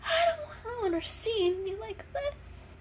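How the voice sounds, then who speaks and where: A woman's voice: very high-pitched, lively intonation, takes it slowly, slight background noise, voice pushed up, full character voice. A woman voicing a sick, grumpy female kitten in a storybook reading, congested and whiny. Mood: miserable, whiny.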